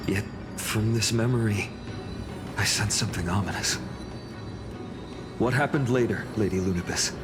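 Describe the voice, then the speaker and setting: Game adventurer, male actor: thoughtful, guarded, lower register, serious delivery over music. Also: normal speed, pauses often, natural voice. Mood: uneasy. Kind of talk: serious video game voice acting.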